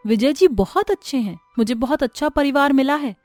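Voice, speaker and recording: A woman's voice: sits high, fairly quick, a little mellow, no echo, soft background music, pitched above the performer's own voice, natural voice. A woman voicing an earnest, emotional young stepdaughter in a moral story, pleading and forgiving. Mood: tender, forgiving. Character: wronged, forgiving, tearful young stepdaughter and bride.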